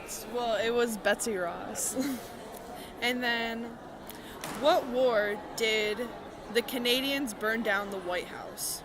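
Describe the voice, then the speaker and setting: Higher-voiced young woman running a casual quiz. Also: slow. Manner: giggly, informal. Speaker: street quiz interviewer. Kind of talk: casual on-the-street quiz, light and chatty. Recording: busy public crowd noise behind.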